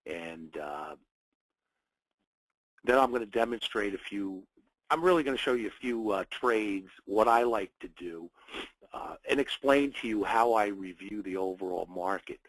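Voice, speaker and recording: A man's voice: lowish voice, lively intonation, a little slow, no echo, poor audio. A man with a cold, sounding a little nasal, hosting a live trading webinar and welcoming viewers in short phrases with plenty of fillers. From United States. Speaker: webinar host, trading educator.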